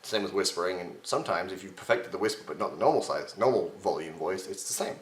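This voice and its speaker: Chatty young man with a strained, gravelly voice. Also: sits low, quick pace, roomy sound. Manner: chatty, self-mocking, gestures with his hands. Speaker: voice impression tutor. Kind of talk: casual webcam tutorial. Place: home room with shelves, indoors. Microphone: webcam at desk distance.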